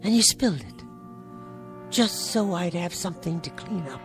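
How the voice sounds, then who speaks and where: A woman's voice: lowish voice, expressive, normal speed, music in the background. An actress playing a bitter, weary, sarcastic woman, with dry, clipped phrasing in a vintage television drama. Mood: irritated. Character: bitter, weary, sarcastic niece tending a robot. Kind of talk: 1960s television drama acting.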